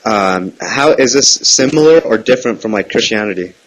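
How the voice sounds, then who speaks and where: A man's voice: lowish voice, animated, normal speed, fairly bright tone, rough recording. A man calling on the phone with casual, meandering questions, hesitant in delivery but persistent. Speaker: prank caller. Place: phone call.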